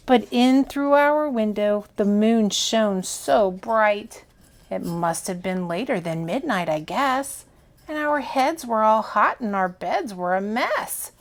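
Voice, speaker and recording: A woman reading a children's picture book aloud as a storytime reader, with expressive, deliberate, dramatic phrasing. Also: medium pitch.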